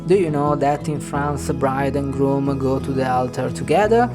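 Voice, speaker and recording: A man's voice: mid-range voice, moderate pace. A man with an Italian accent, a native Italian speaker from northern Italy, narrating his travel vlog in a wry, chatty way. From Piedmont, northern Italy. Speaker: travel vlogger. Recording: music underneath.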